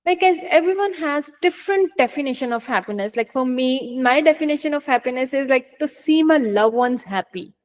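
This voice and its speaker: A woman's voice: sits high, animated, fairly quick, hardly any pauses. A woman hosting an interview podcast, greeting her guest politely and asking questions, often using "like" as a filler. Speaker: podcast host.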